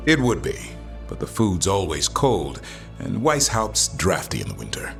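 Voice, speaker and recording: An actor playing a wry, earnest warrior of an honorable fantasy order in a video game, with dry, measured delivery. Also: male voice, sits low, huge pitch swings, vocal fry, soft background music, exaggerated voice. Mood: wry, relaxed.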